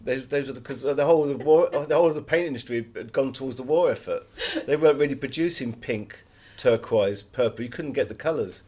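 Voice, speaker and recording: A man's voice: medium pitch, a little fast, few pauses, darkish tone. A man giving conversational interview answers as an author, full of anecdotes.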